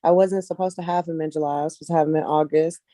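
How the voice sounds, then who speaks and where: Mellow, low-voiced woman in casual interview. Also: steady tone, rapid-fire, no room sound, studio quality. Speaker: guest casting director, actress and model.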